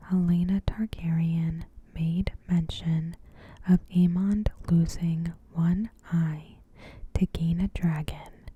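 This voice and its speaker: Very soft, slow whispering woman. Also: on the low side, a little hiss or hum. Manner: gentle, deliberate, measured. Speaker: ASMR host. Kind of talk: ASMR, scripted episode commentary.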